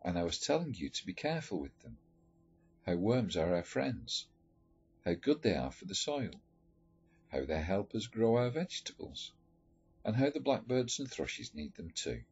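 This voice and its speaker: Deep, husky male storyteller. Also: big pitch swings, moderate pace, a little mellow. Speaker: storyteller reading aloud. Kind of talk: expressive read-aloud of a letter story. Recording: dull lo-fi recording with music behind. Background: background music.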